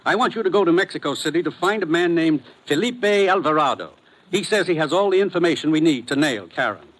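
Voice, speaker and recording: An actor playing a stern, authoritative commissioner giving clipped orders in 1950s radio drama style. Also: male voice, fairly high pitch, expressive, fast, a bit bright. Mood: stern, urgent. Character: stern, businesslike male commissioner briefing an agent.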